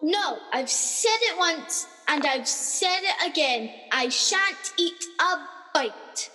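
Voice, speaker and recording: A woman acting a proud, high-minded suffragette prisoner in a comic radio play, speaking in a declamatory manner. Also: expressive, slow pace, bright, forceful tone, exaggerated voice. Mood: stubborn. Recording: very reverberant, hall-like sound.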